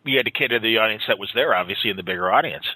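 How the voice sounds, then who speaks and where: A man's voice: fairly low pitch, racing, sharp tone, no room sound, low-quality recording. A man hosting an interview in a casual, chatty style, reacting to his guest and asking questions. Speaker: interview host.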